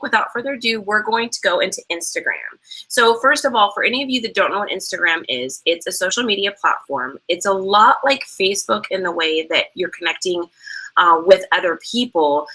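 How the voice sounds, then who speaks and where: A woman's voice: lowish voice, bright, forceful tone, low-quality recording. A young woman co-hosting an online sales training, chatting casually and explaining steps with lots of fillers. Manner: chatty, many fillers, laughs easily. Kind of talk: casual instructional talk.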